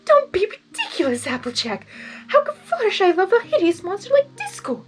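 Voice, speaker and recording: A woman's voice: very high-pitched, wildly swooping pitch, normal speed, faint music behind, pitched above the performer's own voice, exaggerated voice. A woman doing a prim, indignant pony lady. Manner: indignant and fussy. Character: prim dramatic young female unicorn pony.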